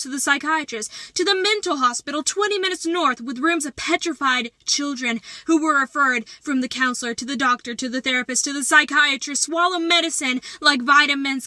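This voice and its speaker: A woman performing slam poetry as a poet, with dramatic, deliberate delivery and emphatic phrasing. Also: high voice, pushed and bright, dry recording.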